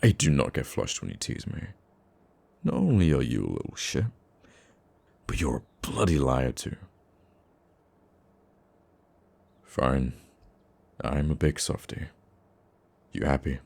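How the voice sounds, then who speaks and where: Man acting a very deep, gravelly soft-hearted villain. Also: sing-song, unhurried, long pauses, exaggerated voice. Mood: grumbling, flustered. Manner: dry, grumbling, affectionately teasing. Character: gruff, teasing supervillain with a secret soft side. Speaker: ASMR roleplay voice actor. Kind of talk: intimate ASMR roleplay acting.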